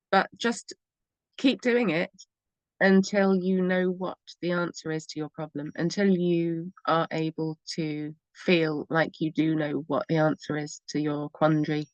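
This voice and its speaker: A woman's voice: lowish voice, no room sound, lo-fi sound. A woman hosting a talk radio show, giving rambling, encouraging advice and laughing often. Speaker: radio show host and EFT therapist.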